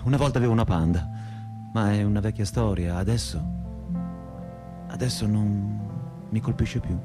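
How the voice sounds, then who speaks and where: A man's voice: fairly low pitch, moderate pace, music in the background, lightly acted. An actor playing a frustrated, self-mocking perpetual student in his thirties in a theatrical, rhetorical radio monologue. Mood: wry grumbling. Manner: rhetorical, repetitive, shifting between lofty lyricism and crude bluntness.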